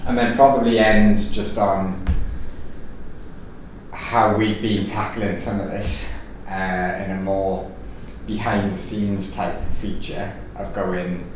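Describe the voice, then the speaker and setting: A man's voice: lowish voice, little pitch movement, takes it slowly. A man with a Welsh accent hosting a podcast in a casual, rambling chat full of fillers and restarts. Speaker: podcast host. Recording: echoey room, distant mic, faint noise.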